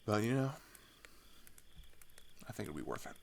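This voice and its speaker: A man playing an open-hearted, weary teenage climber on the run in an ASMR roleplay, speaking in a halting, confiding way with a low, gravelly voice. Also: sing-song. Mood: earnest, cautioning. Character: open-hearted teenage male climber fleeing across a border, weary but caring. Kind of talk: ASMR roleplay audio drama.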